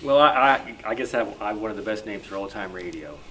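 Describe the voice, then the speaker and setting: A middle-aged man speaking informally and haltingly as a researcher at a club meeting. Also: on the low side, lively intonation, a bit bright. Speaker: old-time radio researcher.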